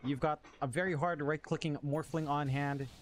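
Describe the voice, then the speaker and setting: High-pitched man commentating over noise. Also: steady tone, moderate pace. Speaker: esports caster. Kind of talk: live game commentary with casual chat. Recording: clearly noisy stream audio.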